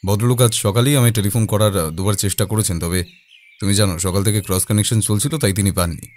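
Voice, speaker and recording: A man playing a low-voiced, thoughtful detective. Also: fairly even intonation, darkish tone, natural voice. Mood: calm, pondering. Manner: deliberate, pausing, hesitating mid-thought. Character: calm, thoughtful male detective, measured and observant. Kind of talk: audio drama dialogue.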